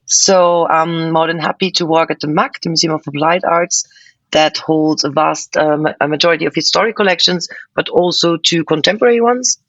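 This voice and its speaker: A woman's voice: deep voice, fairly bright tone, no room sound, dull audio. A woman from Austria, a museum curator, answering interview questions thoughtfully with frequent fillers and restarts. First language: German.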